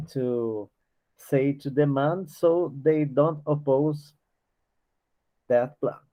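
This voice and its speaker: A man leading a book study class. He reads passages aloud and explains them, halting often and restarting as he searches for words. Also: mid-range voice. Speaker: study group leader. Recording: dull lo-fi recording.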